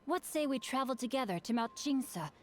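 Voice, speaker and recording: A man's voice: very high-pitched, animated, moderate pace, a bit bright, slight background noise. A voice actress playing a polite young male swordsman who loves books, courteous and formal in a game. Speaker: game character.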